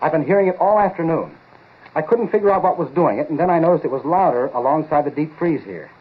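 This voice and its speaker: An actor playing an earnest, excitable lab researcher, urgent and insistent, in a 1940s radio drama style. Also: male voice, a little high, fast talker, husky. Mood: defensive unease. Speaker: supporting actor. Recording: tinny, muffled-detail 1940s radio recording.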